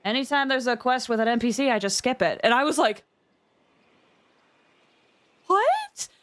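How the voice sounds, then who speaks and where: A woman with a fairly high voice hosts a livestream. She chats casually with her viewers. Her delivery is expressive and emphatic, like someone happy to talk at length. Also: moderate pace. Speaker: livestream host.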